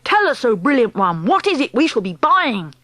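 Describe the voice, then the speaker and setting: A woman narrator puts on bloodthirsty witch minions in a storybook reading. The voice is raised high and exclaims in fawning, chanting bursts, recorded dry. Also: animated, unhurried, slightly rough, no room sound. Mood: eager pleading. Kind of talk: audiobook character performance.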